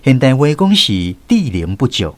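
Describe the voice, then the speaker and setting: A man's voice: medium pitch, sing-song, normal speed, no echo. A man with a Taiwanese accent narrating a scripted first-person story for a radio drama.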